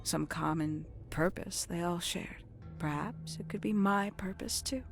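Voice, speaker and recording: Low-voiced, enigmatic brooding woman. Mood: brooding, secretive. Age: adult, older than nineteen. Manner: measured, deliberate, theatrical storytelling with dramatic pauses. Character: enigmatic, brooding woman recounting a secret family past. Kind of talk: video game voice acting, dramatic monologue. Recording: background music under the dialogue.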